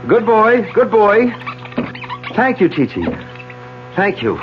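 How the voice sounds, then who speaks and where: A man's voice: high-pitched, very animated, slow pace, full character voice. A controlled, authoritative doctor in a seventies radio thriller. His mid-range voice swings widely as he instructs and commands. The broadcast sounds dull, with music. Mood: commanding. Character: authoritative, controlled male doctor managing a crisis. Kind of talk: 1970s radio mystery drama acting.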